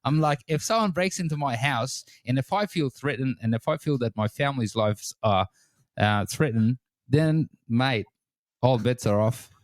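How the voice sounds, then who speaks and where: A man's voice: mid-range voice, animated, moderate pace, dry recording. Stammering podcast co-host man. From Afghanistan. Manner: stammering, laughs often, says mate. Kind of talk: casual interview chat.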